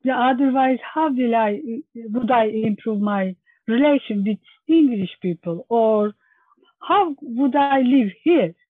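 A woman's voice: fairly high pitch, a little slow, dry recording. A woman with a Turkish accent being interviewed as an English learner, with careful, non-native phrasing. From Turkey. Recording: thin phone-like line.

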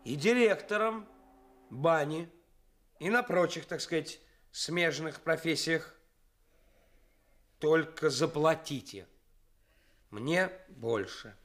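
An actor playing a brazen young stage actor who poses as a cynical swindler fiancé in a Soviet radio play, pompous and deliberate with emphatic pauses. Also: male voice, a little high, animated, a bit bright. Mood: boastful. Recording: studio radio play with music.

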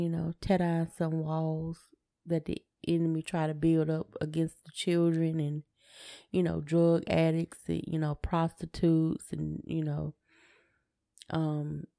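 A woman's voice: deep voice, completely flat delivery, slow, gravelly, dark tone, no room sound, studio quality. A middle-aged woman, chatting casually and in a laid-back way as a podcast co-host.

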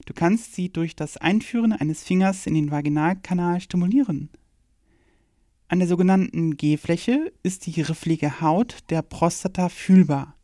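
A woman's voice: lowish voice, unhurried, dark tone, no echo, studio quality. Frank, matter-of-fact woman explaining. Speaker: performer in an audio play. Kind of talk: scripted educational radio play reading.

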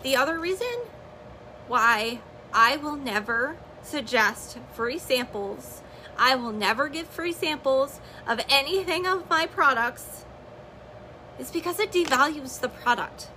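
Woman, high voice, bright, slightly hoarse, emphatic podcast host, repetitive advice. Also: expressive, measured pace, a little hiss or hum, low-quality recording. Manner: emphatic, repetitive, direct to listeners. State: slightly hoarse, losing her voice. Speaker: podcast host and network marketer. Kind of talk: motivational business talk on a live stream.

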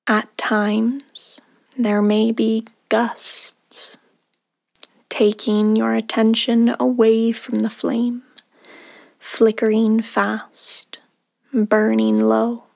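A woman leads a guided meditation in a fairly high but very even voice, soft and soothing. Her phrases are short and drift into creak and breath at the ends, with long pauses between them. The recording is close and dry. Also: no room sound.

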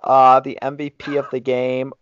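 A man's voice: mid-range voice, monotone, normal speed. A man co-hosting a podcast, chatting casually and making sports predictions. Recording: dry, muffled lo-fi podcast audio.

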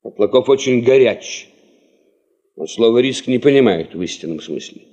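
A male actor plays a cautious, principled male party leader and geologist in a radio drama. His medium-pitched voice rises and falls widely. He is formal, exact and firmly sceptical. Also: expressive, moderate pace, darkish tone, lo-fi sound, close to an everyday voice. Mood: cautious, matter-of-fact. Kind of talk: Soviet radio drama acting.

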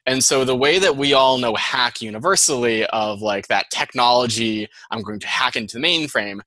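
A man's voice: mid-range voice, bright, forceful tone, no echo. A man with a Boston accent, a guest on an interview show, explaining things in a chatty, rambling way full of "like"s. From Boston, USA. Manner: chatty, fast-flowing explanations with many "like"s. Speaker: guest, data scientist. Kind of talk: casual interview chat.

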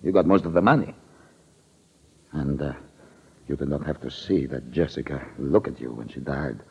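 An actor playing a nervous, scheming male con man in a 1940s radio drama, speaking with a halting, theatrical delivery on a muffled old recording. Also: very deep voice, gravelly, darkish tone, a little hiss or hum. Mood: uneasy, haunted.

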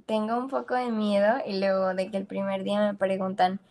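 A woman's voice: mid-range voice, little pitch movement, a little fast, a little mellow. A young woman from Mexico with a Mexican accent, chatting casually as a podcast guest in a slangy, easygoing way and laughing often. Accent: Mexican Spanish. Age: young adult. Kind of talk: casual chat.

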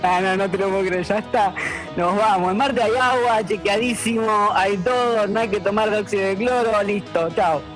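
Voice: mid-range voice, fairly even intonation, moderate pace, natural voice. An actor playing a cheeky, streetwise radio panelist with an Argentine accent, bantering in brash slang in a comic radio play. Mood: brash, joking. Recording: loud music behind.

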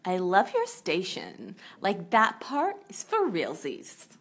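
A woman's voice: fairly low pitch, huge pitch swings, slow, noisy background, exaggerated voice. A woman playing an airheaded young caller who drawls in valley-girl speech full of "like". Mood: flighty. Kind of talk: comedic parody.

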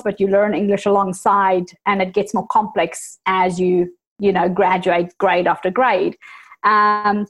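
A woman with a South African accent softened by New Zealand, a native Afrikaans speaker, chatting candidly as a podcast guest and laughing at her own jokes. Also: mid-range voice. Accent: South African with New Zealand influence. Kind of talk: casual conversational storytelling.

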